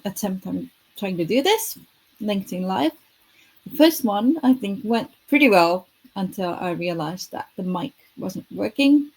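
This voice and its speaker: A woman's voice: medium pitch, a little mellow, husky, rough recording. A woman in her thirties from Estonia, speaking English, giving a live online presentation in an earnest manner, often correcting herself. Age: 30s. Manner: earnest, self-correcting, lightly self-deprecating. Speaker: futurist presenting her product.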